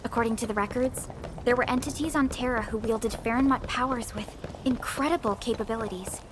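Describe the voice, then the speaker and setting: A woman's voice: on the high side, brisk, background noise, lightly acted. An actress playing a polite, studious young woman, thoughtful and inquisitive, in a scripted video game. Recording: game music behind the voice. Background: game music.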